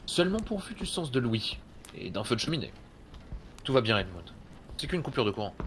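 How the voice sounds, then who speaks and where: Man acting a brooding, mid-pitched wry adventurer. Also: big pitch swings, fairly quick, fairly bright tone, a little hiss or hum. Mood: dry, wry. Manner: laconic, dry, sardonic. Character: brooding, wry male adventurer, master of the house. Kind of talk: audio drama acting.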